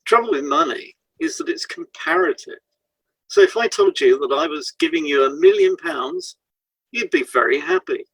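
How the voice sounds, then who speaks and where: A man's voice: very high voice, sing-song, moderate pace, creaky, a bit bright, dry recording, rough recording. A man reading a scripted educational piece aloud as a podcast co-host.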